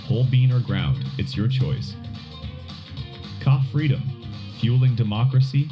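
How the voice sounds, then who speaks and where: A man playing a patriotic pitchman in a scripted radio commercial. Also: animated, a little slow, natural voice. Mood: proud, selling. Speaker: ad announcer. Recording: dull lo-fi radio, music underneath.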